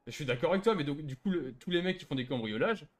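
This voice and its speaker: A man's voice: a little high, lively intonation, quick pace. A man arguing casually as a player in an online role-play chat, insistent and protesting.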